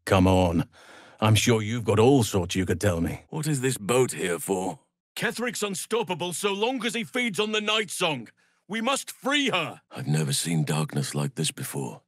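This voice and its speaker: An actor playing a calm, earnest and wise male druid healer, protective in temper, for a video game. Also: on the low side, normal speed, no room sound, studio quality, heavily acted. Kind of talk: video game character voice lines.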